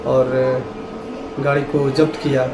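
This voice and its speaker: A man, a police official, giving slow terse answers to a reporter in a field clip. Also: mid-range voice, little pitch movement, reverberant, noisy background.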